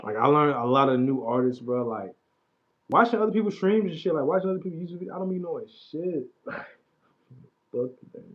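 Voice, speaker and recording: A man's voice: on the high side, expressive, brisk. Casting: man in his late twenties, laid-back livestream host, slangy and mumbly, muffled stream audio. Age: 20s. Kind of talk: casual livestream chat about music.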